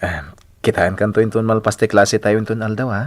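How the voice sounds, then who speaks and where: A man's voice: lowish voice, steady tone, brisk, no echo, natural voice. A man with a Filipino accent playing a friendly, helpful male schoolteacher in a radio drama, chatty and attentive. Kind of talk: radio drama acting in Ilocano.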